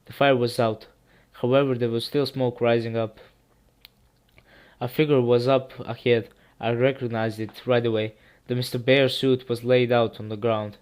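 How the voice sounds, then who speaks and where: Male horror narrator, sick, clean rounded tone, steady and earnest. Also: mid-range voice, moderate pace, clean tone. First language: Serbian. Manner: earnest, steady reading with small restarts. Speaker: YouTube narrator. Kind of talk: first-person horror story narration.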